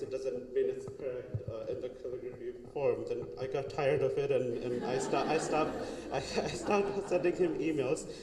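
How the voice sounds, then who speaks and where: A young man from Bangladesh giving an artist talk in a gallery, hesitant, with many fillers and restarts. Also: mid-range voice. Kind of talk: casual artist talk, answering questions. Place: gallery hall. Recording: echoey hall, noisy room.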